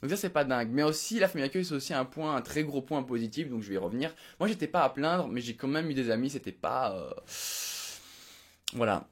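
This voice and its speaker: Young male vlogger, quick rambling delivery, lots of fillers, unscripted home recap. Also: medium pitch, expressive. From Belgium. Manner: rambling, many fillers and restarts. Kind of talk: unedited casual recap to camera. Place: home room.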